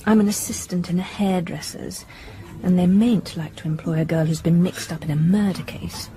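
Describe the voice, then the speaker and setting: Radio drama role: female actor as friendly young English working girl, polite, wry, soft warm tone. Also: lowish voice, steady tone, moderate pace, lightly acted, low-quality recording. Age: young adult. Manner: polite, gently teasing.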